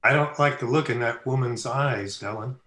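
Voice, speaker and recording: A man's voice: mid-range voice, normal speed, fairly bright tone, natural voice. An actor playing a wry young man who reassures his sweetheart with folksy wisecracks in an old-time radio drama. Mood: wary, teasing. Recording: roomy echo with party chatter.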